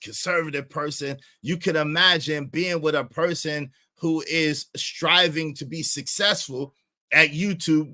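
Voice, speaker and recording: Bright, forceful American man livestreaming. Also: a little high. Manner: emphatic, repeats phrases, tags statements with "right?" and "okay?". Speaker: livestream host. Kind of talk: casual livestream monologue to viewers.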